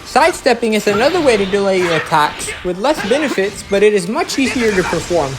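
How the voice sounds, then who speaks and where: A woman's voice: mid-range voice, very animated, a little fast. A woman giving an instructional, step-by-step voice-over as the narrator of a gameplay guide. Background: music.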